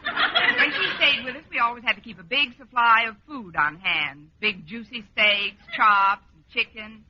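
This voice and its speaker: An actress playing a wry, wisecracking schoolteacher in a vintage radio sitcom, tossing off drawn-out deadpan asides. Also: female voice, fairly high pitch. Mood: placating. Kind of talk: 1940s-50s radio sitcom acting. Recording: muffled old radio recording, loud noise, clicks.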